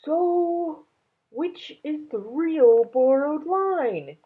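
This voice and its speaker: Woman voicing a hesitant, raised-pitch storybook dragon. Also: expressive, drawn-out words, phone-quality sound, exaggerated voice. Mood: puzzled. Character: friendly, bumbling male dragon companion in a storybook.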